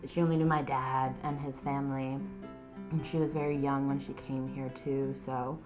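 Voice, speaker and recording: Low-voiced young woman chatting over music. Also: little pitch movement, normal speed, a little mellow. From Chile. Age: 20s. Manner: chatty, lots of "like", acts out quotes. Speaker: documentary subject. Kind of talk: casual personal storytelling. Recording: music playing underneath.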